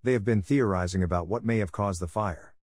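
A man with a fairly low voice reads out test sentences at a fast clip. The sound is dry and close, with no room to it. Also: a little mellow, no echo. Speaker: test prompt reader. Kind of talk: scripted sentence reading for an English test.

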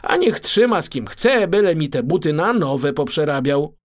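A man's voice: fairly high pitch, sing-song, moderate pace. A man voicing a haughty, scheming, wealthy widow in a children's story, pompous and emphatic. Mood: dismissive.

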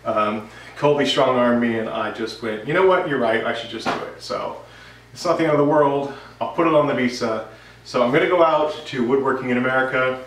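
A man's voice: medium pitch, a bit bright. A man in his thirties giving a casual, rambling update to camera as the host of a woodworking vlog. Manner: rambling, conversational. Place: home workshop, indoors. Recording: roomy, distant, noisy workshop recording.

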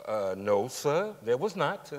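A man's voice: medium pitch, big pitch swings. Echoey stage, actor as middle-aged working guy testifying in court, polite, careful, hesitant but steadfast. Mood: plain, polite. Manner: deliberate, hesitant, formally polite. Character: steadfast forty-nine-year-old working man testifying as witness. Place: large indoor theatre stage. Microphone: lapel mic. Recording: echoey theatre stage, long reverb.

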